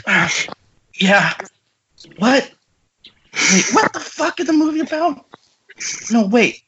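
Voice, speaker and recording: A man playing an eager, excitable young film actor in a comedic script table read over an internet call. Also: extremely high pitch, slow, exaggerated voice. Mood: incredulous. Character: eager, foul-mouthed young male film actor in his twenties. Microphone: remote video-call microphone. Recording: muffled internet call, faint noise.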